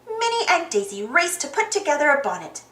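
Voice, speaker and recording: A woman giving an expressive read-aloud narration of a storybook for children. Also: high voice, a little fast, roomy sound. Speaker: children's storybook channel reader.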